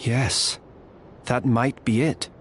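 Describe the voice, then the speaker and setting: A man playing a composed, analytical warrior ally in a video game, speaking in a measured, formal manner. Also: medium pitch, fairly even intonation, a little hiss or hum, lightly acted. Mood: thoughtful.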